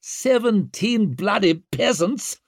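A male narrator plays an exasperated king in an audiobook, his pitch lifted and lively. He sounds incredulous and sardonic, with a sharp tongue. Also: a little slow, no echo, studio quality, heavily acted.

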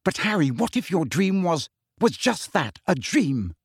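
A man's voice: high voice, very animated, a little slow, breathy edge, no room sound, studio quality, voice pushed up, exaggerated voice. A man voicing a clever, anxious teenage girl witch who argues fiercely and pleads.

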